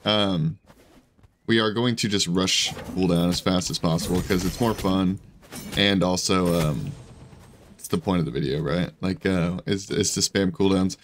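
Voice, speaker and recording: A man with a hoarse, scratchy voice giving casual, rambling commentary over his own gameplay, full of fillers and restarts. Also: deep voice, measured pace. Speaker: gaming video creator.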